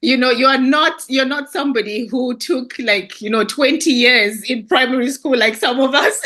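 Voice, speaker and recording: A woman hosting an interview, chatty and quick to laugh. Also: high voice, bright, forceful tone. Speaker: host. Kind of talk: casual interview.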